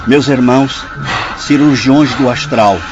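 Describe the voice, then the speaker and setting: An actor playing the solemn, commanding leader of a spiritist prayer session in a radio drama, formal and exhorting. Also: male voice, medium pitch, unhurried, natural voice. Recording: dull studio track with music.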